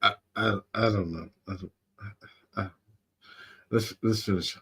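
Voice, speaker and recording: A man hosts a casual livestream in a very low voice. He takes his time, talking slowly and rambling through slangy commentary. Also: steady tone, fairly bright tone, husky. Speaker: livestream host. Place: home studio room.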